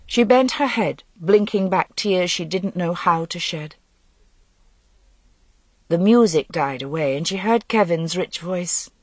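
A woman's voice: on the low side, lively intonation, measured pace, dry recording. A woman reading a literary legend as an audiobook narrator, in scripted storytelling prose.

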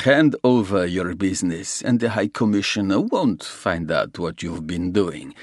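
A man voicing a smug, menacing Russian gangster who talks slowly and mocks his rival. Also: low-pitched, animated, a little mellow, no echo, clean studio sound. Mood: gloating. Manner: slow, gloating, mocking. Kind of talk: audiobook character reading.

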